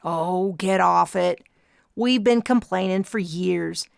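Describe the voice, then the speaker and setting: A woman voicing a weary grown man who speaks in a slow drawl. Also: lowish voice, vocal fry, clear, resonant tone, dry recording, studio quality, full character voice. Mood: exasperated.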